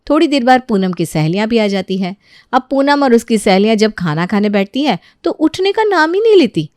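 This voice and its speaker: A woman storyteller narrates a scripted tale in a medium-pitched voice. Her delivery is expressive, with wide swings of intonation, and the recording is close and dry. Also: no echo.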